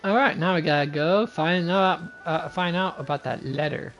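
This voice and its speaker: A woman's voice: on the low side, normal speed, dull audio, faint music behind. A woman game streamer chatting casually and playfully on a livestream.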